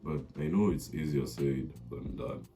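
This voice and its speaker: Young male vlogger, very deep dark tone, halting and confiding. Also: faint music behind. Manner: hesitant, full of fillers and restarts; earnest, confiding. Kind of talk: personal talk to camera.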